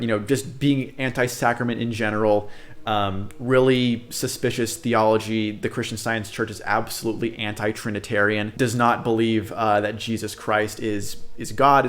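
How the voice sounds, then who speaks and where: A man's voice: lowish voice, fairly bright tone. A young American man introducing his channel in a chatty, earnest way, with many fillers and asides. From United States. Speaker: channel host. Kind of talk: casual direct-to-camera introduction.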